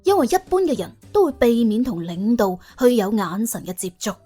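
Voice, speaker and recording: Lively higher-pitched Cantonese woman storyteller. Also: a little fast, faint music behind. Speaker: audiobook narrator. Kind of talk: colloquial, expressive scripted storytelling.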